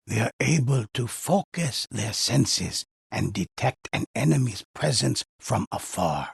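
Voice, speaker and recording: An actor playing a solemn old male storyteller in a video game, narrating in a raspy, measured, mysterious way with deliberate pauses. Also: low voice, no room sound, clean studio sound, exaggerated voice. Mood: solemn, instructive. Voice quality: raspy, husky, gravelly. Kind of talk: scripted dramatic storytelling narration.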